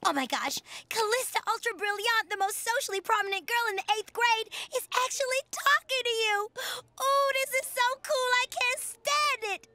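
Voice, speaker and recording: A woman voicing an excitable preteen best friend in a cartoon, gushing in teen slang. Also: very high voice, moderate pace, few pauses, slight background noise. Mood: breathless excitement. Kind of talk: animated series dialogue.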